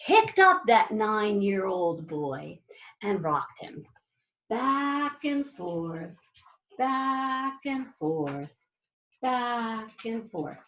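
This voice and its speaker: Woman, storybook read-aloud, unhurried, drawn-out emphasis, roomy muffled recording. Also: high-pitched. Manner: animated, drawn-out repetition with dramatic emphasis and asides to listeners. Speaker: elementary school teacher reading aloud to pupils.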